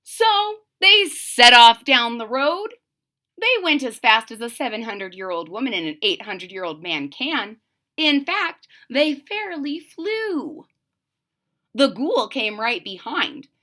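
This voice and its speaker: A woman reading a storybook aloud to young children, expressively, with direct asides to the listeners. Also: on the high side. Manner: deliberate, animated, addressing children directly. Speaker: storybook reader.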